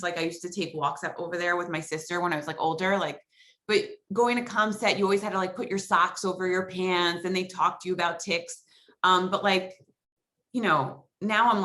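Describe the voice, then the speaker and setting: A woman's voice: medium pitch, bright, forceful tone. A woman in her forties from Long Island with an American accent, chatting casually and ramblingly as an interview guest. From Long Island, New York, USA. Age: 40s. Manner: chatty, rambling, peppered with "like" and "you know". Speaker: podcast guest. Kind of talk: casual chat.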